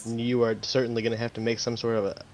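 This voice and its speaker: A man playing a tabletop game, describing his character's actions in a deliberate, menacing way. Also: medium pitch, monotone, dull audio, slight background noise. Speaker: player.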